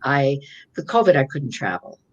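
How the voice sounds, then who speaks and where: An older woman author with a low, slightly gravelly voice, chatting casually on a video call and often trailing off and restarting. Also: big pitch swings, moderate pace, muffled recording. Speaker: author guest. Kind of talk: casual conversation on a virtual event. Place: home, virtual call.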